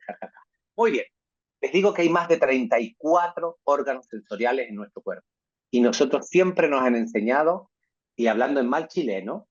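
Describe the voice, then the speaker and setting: Male lecturer on a video call, husky voice, dull muffled audio, asks "right?" a lot. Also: mid-range voice. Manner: peppers talk with tag questions. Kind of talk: online lecture to teachers.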